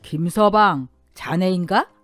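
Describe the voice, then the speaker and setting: A woman's voice: on the low side, takes it slowly, no room sound, soft background music. A woman playing a barking, stingy old father-in-law. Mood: stern. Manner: barking, scolding commands. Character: stingy shouting older father-in-law, harsh and strict.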